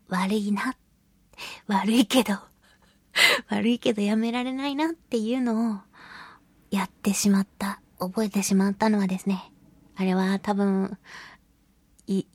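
Clear-voiced woman chatting on radio. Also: medium pitch, mellow, dry recording. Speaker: radio show host and voice actress. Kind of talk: chatty solo radio monologue.

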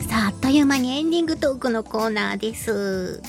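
A woman hosting a casual solo radio talk show, reading listener mail in a playful, giggly, cutesy way with a husky, breathy voice. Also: on the high side, expressive, fast talker, soft background music. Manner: playful, giggly, slips into cutesy exclamations and quirky old-man phrasing. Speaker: radio show host, voice actress.

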